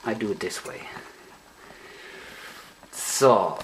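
A man's voice: on the low side, lively intonation, drawn-out words. Hesitant German man, noisy recording. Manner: hesitant, self-conscious, searching for English words. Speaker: hobbyist demonstrator. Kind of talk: casual hands-on demonstration. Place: indoor room, beside tape machines. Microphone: camera microphone. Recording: noisy amateur camera sound with clicks.

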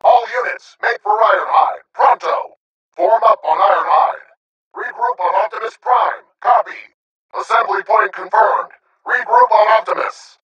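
A man's voice: high-pitched, pushed and bright, echoey room, distant mic, muffled recording, heavily acted. A man voicing a disciplined, combative robot sniper soldier in a video game, giving clipped military callouts in an urgent, commanding manner.

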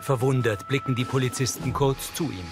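A man's voice: medium pitch, steady tone, fairly quick. Male narrator describing scenes over music. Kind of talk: scripted narration, present-tense scene description.

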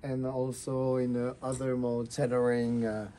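A man's voice: mid-range voice, completely flat delivery, a little slow, mellow, slight background noise. A young man with a French accent explaining things casually as a designer in a showroom, phrasing his English hesitantly but with enthusiasm. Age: young adult. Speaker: fashion designer presenting a collection.

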